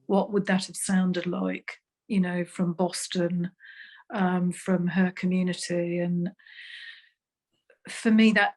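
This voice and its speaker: A woman researcher speaks carefully on a panel, pausing often. Her pitch stays flat and even. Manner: hesitant, careful, many pauses. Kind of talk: panel discussion.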